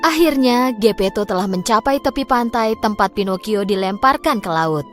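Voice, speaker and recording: A woman narrating a scripted fairy tale for children in an expressive, clearly phrased way. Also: medium pitch, moderate pace, soft background music. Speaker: storyteller.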